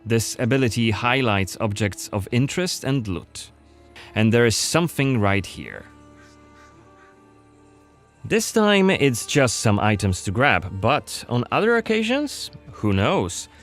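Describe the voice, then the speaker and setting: A man's voice: mid-range voice, sing-song, a little slow, fairly bright tone, faint music behind. A man narrating a scripted gameplay showcase as its presenter.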